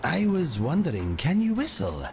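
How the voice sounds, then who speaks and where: A man's voice: a little high, huge pitch swings, normal speed, vocal fry, noisy background, full character voice. A man playing a bold, wry catlike warrior companion in a fantasy game, speaking in formal, eloquent phrases. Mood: musing. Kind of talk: fantasy game character acting.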